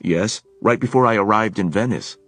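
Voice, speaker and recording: A man's voice: on the low side, normal speed, fairly bright tone, no echo, soft background music, voice pushed up. A man voicing a guarded young man who speaks tersely. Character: guarded young male Shadowhunter on vacation.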